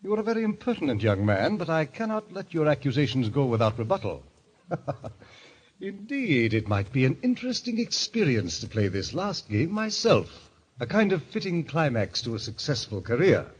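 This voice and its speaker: Suave, sinister club president, swooping delivery. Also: male voice, a little high, very animated, normal speed, husky. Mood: coolly affronted. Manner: formal, urbane, coolly courteous. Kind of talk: old-time radio drama acting. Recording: muffled vintage radio recording.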